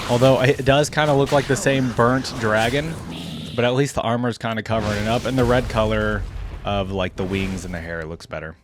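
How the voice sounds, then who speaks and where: A man's voice: on the low side, background noise. A man giving casual reaction commentary as a video host, musing aloud in a rambling way.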